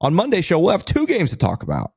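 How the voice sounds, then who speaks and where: A man's voice: sits high, wildly swooping pitch, quick pace, gravelly, husky, dry recording. An American man chatting casually as co-host of a sports podcast.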